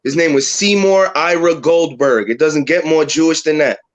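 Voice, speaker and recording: A New York man chats casually with friends, still groggy from sleep, and lays out his points firmly and at length. His voice sits a little higher than most men's. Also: normal speed, a crowd in the background. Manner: explains firmly, lectures the group. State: just woke up, deep morning voice. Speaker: podcast co-host. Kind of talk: casual group chat.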